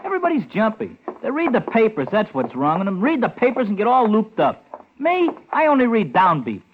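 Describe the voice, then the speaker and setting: A man's voice: sits high, huge pitch swings, fairly quick. An actor playing a chatty, cocky, star-struck young hotel elevator boy in a 1950s radio drama, slangy and rambling. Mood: eager, breezy. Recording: noisy tinny 1950s radio recording.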